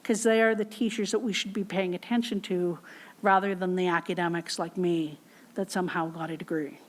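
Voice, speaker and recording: A woman's voice: fairly low pitch, fairly even intonation, a little fast. A woman giving an academic keynote lecture and answering audience questions in a plainspoken, informal way with frequent fillers.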